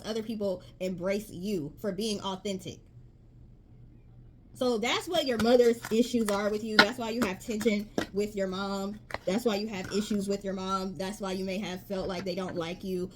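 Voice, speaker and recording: A woman's voice: mid-range voice, a little hiss or hum. A woman giving a casual tarot reading to her viewers, chatty and emphatic, reading card messages aloud. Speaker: tarot reader.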